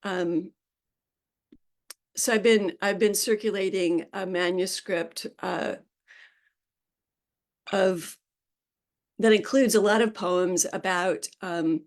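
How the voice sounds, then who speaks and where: A woman reads her poems aloud in a slow, unhurried way, her pitch sitting in a middle range. Between pieces she talks in a loose, halting way, with small pauses and restarts. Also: steady tone. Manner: slow, measured poetry delivery with hesitant asides. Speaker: poet reading her work. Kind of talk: live poetry reading.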